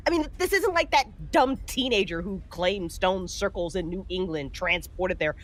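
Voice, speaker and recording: An actress playing a brash, foul-mouthed, pushy paranormal investigator in a horror audio drama. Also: female voice, on the low side, lively intonation, normal speed. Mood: excited, pushy.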